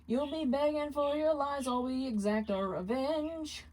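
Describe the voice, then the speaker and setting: Woman playing male demon ex-husband, raised pitch, mocking, vengeful, coldly indignant, fan fiction drama. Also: normal speed, darkish tone, lightly acted, rough recording. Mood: mocking, singing. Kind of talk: dramatic fan fiction reading, partly sung.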